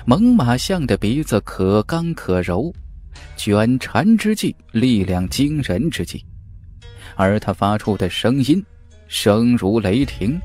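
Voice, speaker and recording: A man narrating a fantasy novel audiobook in an animated, dramatic storytelling manner. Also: mid-range voice.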